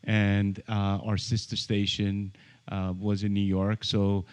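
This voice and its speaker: Friendly man with halting speech. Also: on the low side, monotone, a little mellow, clear, resonant tone. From Iran. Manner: friendly, hesitant, many fillers. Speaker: podcast guest, realtor. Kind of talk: casual interview conversation. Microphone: studio microphone with headphones.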